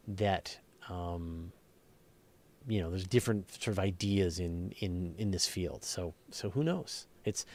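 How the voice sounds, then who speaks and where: A man with a fairly low voice hosts a casual live question-and-answer session. He explains in a conversational way, working through his thoughts out loud. The sound is dry, with very little room on it. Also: no room sound. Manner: conversational, thinking aloud, explanatory. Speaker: host.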